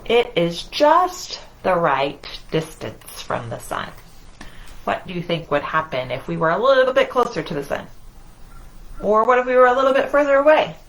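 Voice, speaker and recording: A woman's voice: mid-range voice, big pitch swings, moderate pace, a bit bright, slight background noise, rough recording. A woman hosting a children's storytime, reading aloud in simple, singsong phrases and putting questions to young listeners. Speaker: children's library storytime host.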